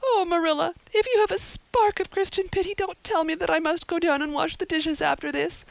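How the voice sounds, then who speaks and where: A talkative young orphan girl from a storybook. The voice sits very high and pleads with earnest, breathless melodrama. The recording is close and dry. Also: lively intonation, brisk, no room sound, rough recording. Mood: sobbing despair.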